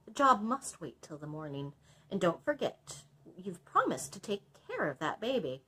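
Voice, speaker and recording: A woman's voice: on the low side, very animated, moderate pace, sharp tone, slight background noise, rough recording. A woman voicing a polite, crafty male blacksmith and father in a storybook, deferential and matter-of-fact. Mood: calm, placating.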